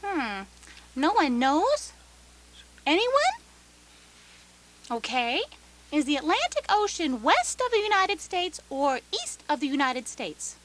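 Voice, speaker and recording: A woman's voice: high-pitched, very animated, takes it slowly, long pauses, fairly bright tone, exaggerated voice. A woman playing a patient, slightly flustered schoolteacher in a satirical radio play.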